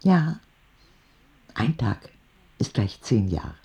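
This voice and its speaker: A man playing a kindly old woman visiting a hospital in an audio drama, speaking in a gentle, measured, reassuring way. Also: medium pitch, big pitch swings, gravelly, muffled recording, heavily acted. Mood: patient, storytelling.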